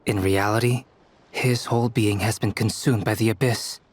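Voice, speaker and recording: A man playing a polite, scholarly researcher in a game dub, speaking in a measured, formal, analytical way. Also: on the low side, steady tone, natural voice. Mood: grave, absorbed. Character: polite, scholarly young male researcher absorbed in theory. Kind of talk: English video game dub acting.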